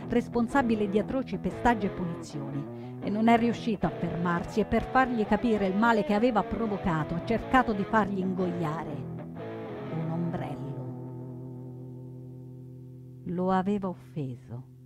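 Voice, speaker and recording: Casting: actress as resolute young female resistance fighter, mid-pitched, dramatic first-person stage narration with prominent guitar underneath. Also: a little mellow, close to an everyday voice. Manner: measured, dramatic, earnest. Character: young woman partisan, resolute, proud, passionate. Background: plucked guitar music.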